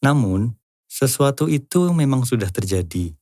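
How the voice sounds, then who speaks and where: A man's voice: medium pitch, expressive, moderate pace, a little mellow, dry recording, clean studio sound. A man hosting an explainer, reading scripted narration in an informal, lightly joking way with casual asides. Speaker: host of a lyric-analysis channel.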